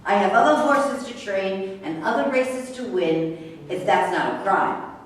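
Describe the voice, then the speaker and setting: A woman reading the part of a defiant, sharp-tongued racehorse trainer in a staged reading, combative and sarcastic. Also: medium pitch, a little slow, lightly acted. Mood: defiant, indignant. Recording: echoey room, distant mic, faint noise.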